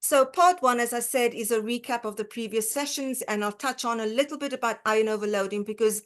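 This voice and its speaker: A woman from South Africa with a South African accent, a dietitian giving an online lecture, often pausing with fillers and restarting her sentences. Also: on the high side. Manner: hesitant, many fillers and restarts.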